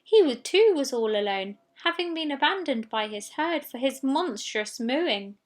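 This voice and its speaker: Slow, high-voiced woman storyteller. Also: lively intonation. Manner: dramatic, with sound words. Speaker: story reader. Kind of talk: expressive children's storybook narration.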